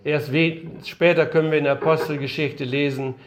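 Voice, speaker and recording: A man's voice: a little high, steady tone, a little fast. Older man preaching, slightly strained voice. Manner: earnest, emphatic, rhetorical questions. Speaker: missionary speaker. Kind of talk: lecture-style sermon. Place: conference room.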